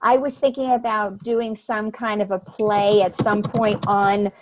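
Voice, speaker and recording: A woman's voice: medium pitch, level tone, measured pace, dry recording, poor audio. Upbeat woman hosting a dry video call. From New Jersey shore. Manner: upbeat, welcoming, often laughs. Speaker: host leading an online book study. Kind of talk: casual group video call.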